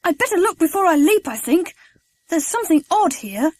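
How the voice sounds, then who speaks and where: Excitable child, very high voice. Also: female voice, moderate pace, dry recording. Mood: excited. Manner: exclamatory, eager. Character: excitable adventurous children, boys and girls.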